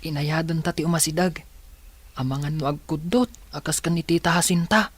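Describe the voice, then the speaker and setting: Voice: mid-range voice, steady tone, normal speed, slightly rough, no room sound, close to an everyday voice. A performer playing a caring, protective older village child in a radio drama, earnest and kindly. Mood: wary. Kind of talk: Ilocano radio drama acting.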